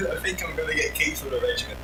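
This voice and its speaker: A man's voice: a little high, quick pace. A man teasing his friends with crude jokes in casual voice chat, laughing often. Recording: distant echoey mic with loud noise.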